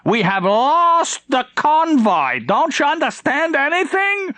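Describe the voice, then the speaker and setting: An enraged admiral in a war novel. The voice leaps high and swoops with scorn, imperious and impatient, biting at subordinates in a dry recording. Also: male voice, huge pitch swings, slow, bright, forceful tone, dry recording, heavily acted. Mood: furious, scornful. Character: enraged, contemptuous German admiral, shouting and impatient.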